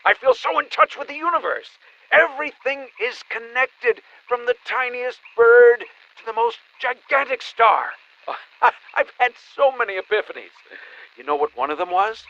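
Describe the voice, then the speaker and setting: A man's voice: extremely high pitch, expressive, moderate pace, sharp tone, heavily acted. A man playing a flaky, evasive spiritual boss in a comedy audio drama, earnest and breezy, heard through a phone filter. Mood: blissful. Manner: breezy, new-age earnest. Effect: phone call filter. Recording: dull band-limited phone call.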